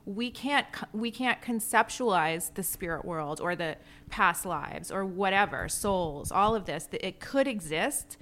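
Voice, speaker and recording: A woman's voice: mid-range voice, expressive, a little slow, few pauses, a bit bright. A woman co-hosting a podcast, casually explaining her open-minded view with an example. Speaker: podcast co-host. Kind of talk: casual chat.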